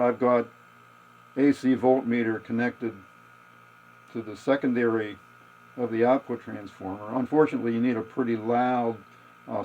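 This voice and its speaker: A man giving a casual hands-on technical demonstration, thinking aloud in a halting, matter-of-fact way. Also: mid-range voice, darkish tone, slight background noise. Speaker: radio repair hobbyist demonstrating. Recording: dull lo-fi home video audio.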